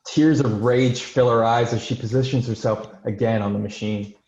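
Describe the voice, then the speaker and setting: A man's voice: medium pitch, steady tone, moderate pace, darkish tone. A man reading scripted screenplay stage directions as narrator. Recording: dull, roomy, echoing home recording.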